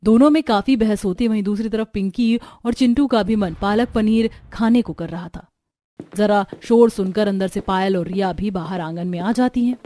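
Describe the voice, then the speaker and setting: A woman's voice: medium pitch, moderate pace, dark tone. A woman narrator reading a scripted family story for a cartoon.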